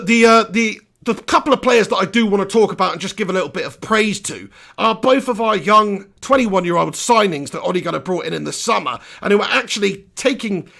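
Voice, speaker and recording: A man hosting a live post-match reaction, hoarse from losing his voice, ranting in an animated, exasperated way. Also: high voice. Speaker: football fan channel host.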